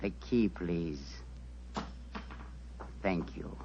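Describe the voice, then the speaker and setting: An actor playing a suave, menacing assassin with formal, deliberate manners in a 1940s radio drama. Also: male voice, deep voice, creaky, slight background noise, full character voice. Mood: smug, commanding. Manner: formal, deliberate, coldly polite. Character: suave, menacing male assassin, scornful and coldly courteous. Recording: dull vintage radio recording.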